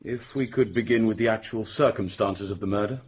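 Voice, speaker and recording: A coolly official British inspector in a radio mystery. He questions briskly and formally in a lowish, husky voice, sure of himself. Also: darkish tone. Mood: businesslike. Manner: formal, businesslike, probing. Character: brisk, self-assured male police inspector, coolly official. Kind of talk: radio drama acting.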